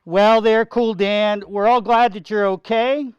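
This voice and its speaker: A man's voice: sits high, steady tone, a little slow, clear, resonant tone, dry recording. A man speaking as a pastor to children, explaining simply in a folksy way with repetition. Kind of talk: children's sermon with a puppet. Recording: dull lo-fi sound.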